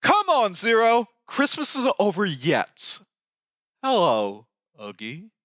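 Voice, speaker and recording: A performer voicing a lanky skeleton Pumpkin King from an animated musical, grandiose, excitable and melodramatic, with sweeping theatrical delivery. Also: medium pitch, takes it slowly, no echo, heavily acted. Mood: resolute. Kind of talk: fan re-recording of animated musical film lines.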